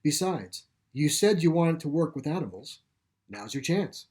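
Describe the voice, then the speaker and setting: A man reading a story plays a calm, gentle caretaker of an animal sanctuary, explaining things with assurance. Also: a little high, measured pace. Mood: reassuring. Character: calm, reassuring pipe-smoking male caretaker of animal sanctuary. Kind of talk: storybook character dialogue.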